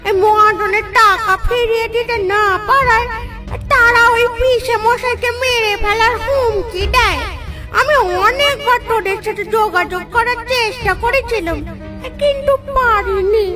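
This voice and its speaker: A woman's voice: very high-pitched, measured pace, bright, forceful tone, faint music behind, full character voice. A woman voicing a protective, sorrowful ghost aunt in a cartoon, scolding and wailing in dramatic fashion. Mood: lamenting. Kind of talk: dramatic Bengali cartoon voice acting.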